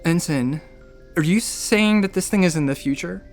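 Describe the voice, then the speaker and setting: Wry, irritable space station doctor, music underneath. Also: male voice, sits high, normal speed. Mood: skeptical. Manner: wry, sarcastic, exasperated, talkative. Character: irritable, sarcastic, rambling male doctor and station commander. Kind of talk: naturalistic sci-fi audio drama acting. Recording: audio drama with music underneath.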